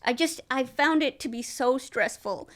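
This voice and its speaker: Woman comedian guest, high voice, quirky and self-deprecating, rambling delivery. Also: normal speed, fairly bright tone. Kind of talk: casual comedic interview.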